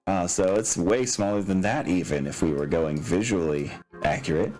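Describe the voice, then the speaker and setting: Guy with a deep voice game mastering, kinda hesitant thinking out loud, music in the back. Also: normal speed. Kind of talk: casual explaining of tabletop game mechanics. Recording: music playing behind. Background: background music.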